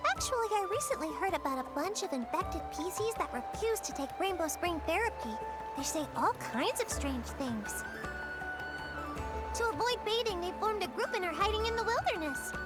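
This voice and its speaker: A woman voicing an eager young storyteller creature in a video game, with a squeaky childlike voice and a bubbly, theatrical way of talking. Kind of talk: animated video game character acting. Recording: music behind the voice. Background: game music.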